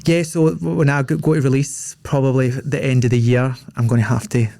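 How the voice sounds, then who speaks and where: A young Scottish man hosting a podcast in a loose, rambling chat, leaning on fillers as he goes. His voice is dark and gravelly and stays fairly flat in pitch. The sound is dry and close, with no room in it. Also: a little fast, dry recording. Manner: chatty, rambling, full of "like" fillers. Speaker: podcast host. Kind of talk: casual interview chat.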